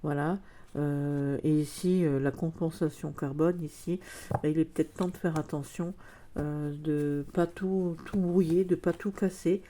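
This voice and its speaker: A woman's voice: sits low, fairly even intonation, measured pace, dark tone. A woman chatting casually in a vlog, hesitant with many fillers, her nose stuffed up from a cold. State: congested, a cold. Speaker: vlogger. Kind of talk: casual chat.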